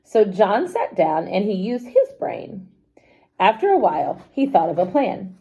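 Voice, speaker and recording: A woman reading a children's story aloud expressively, pausing to explain things simply to young listeners. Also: medium pitch. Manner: animated, unhurried, explains words simply to children.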